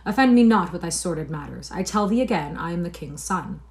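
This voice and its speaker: A woman's voice: on the low side, expressive, a little fast, a little mellow. A woman reading aloud and voicing a proud, indignant boy prince who speaks with stiff formal dignity.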